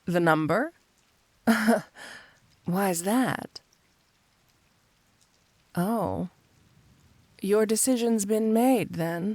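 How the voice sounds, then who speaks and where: Slow, creaky, flirty supervillainess, acted by a woman. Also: medium pitch, no echo, exaggerated voice. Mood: playful, guarded.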